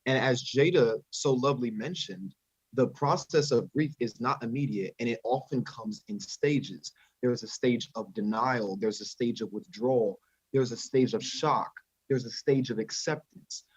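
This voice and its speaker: A man's voice: medium pitch, a little slow, no room sound. A young man, a student panelist, speaking in an articulate, earnest way. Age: young adult. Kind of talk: panel discussion. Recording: dry, dull, husky sound.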